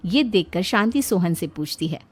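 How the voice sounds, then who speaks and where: A woman's voice: fairly low pitch, expressive, moderate pace, breathy edge. A woman storyteller reading a scripted moral tale as narration.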